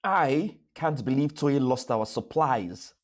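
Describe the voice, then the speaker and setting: A man voicing a cocky, teasing cartoon boy adventurer with a husky voice and a boastful, taunting manner. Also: medium pitch, lively intonation, takes it slowly.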